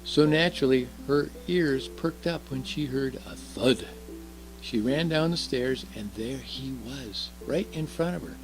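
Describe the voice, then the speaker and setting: A man's voice: mid-range voice, a little slow, poor audio. A man reading a children's story aloud expressively, in the role of Santa. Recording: music behind the voice.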